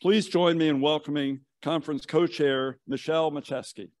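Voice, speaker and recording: A man in his sixties gives formal, prepared welcome remarks as a college dean, speaking in a measured way from notes into a podium microphone. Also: a little high, fairly even intonation, a little mellow, no echo. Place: conference hall stage at a podium, indoors. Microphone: podium gooseneck microphone.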